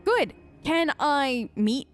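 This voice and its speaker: Chatty, high-voiced woman gamer. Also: very animated, extremely slow, dry recording, soft background music. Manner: casual, chatty, playful. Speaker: let's play host. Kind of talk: role-playing a game character.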